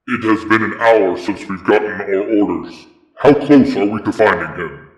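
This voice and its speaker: A man playing a solemn, commanding disembodied mentor of heroes in an audio fan fiction, grave and measured. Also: on the low side, lightly acted. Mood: urgent, commanding. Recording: echoing, reverberant voice effect.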